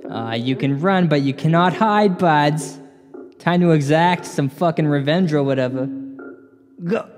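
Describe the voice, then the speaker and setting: A man's voice: fairly high pitch, unhurried, music in the background, close to an everyday voice. A man playing a dopey, laid-back guy who rambles hesitantly in a comedy audio drama. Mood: goofy menace. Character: dopey, slack, slow-talking man who calls everyone bud. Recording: long reverb.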